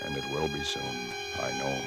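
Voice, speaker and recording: A haunted man alone in the dark. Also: a bass voice, little pitch movement, brisk, creaky. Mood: resigned. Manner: hushed, haunted first-person storytelling. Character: frightened man alone in an empty house. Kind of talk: 1940s radio drama narration. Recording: 1940s radio broadcast with loud music. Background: music score.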